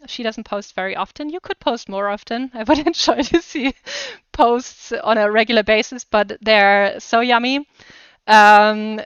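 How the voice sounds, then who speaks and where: Female cooking stream host, German accent, very smooth clean voice, chatty and upbeat, dry but slightly dull sound. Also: medium pitch, a bit bright, dry recording. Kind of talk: casual chat to live viewers while cooking.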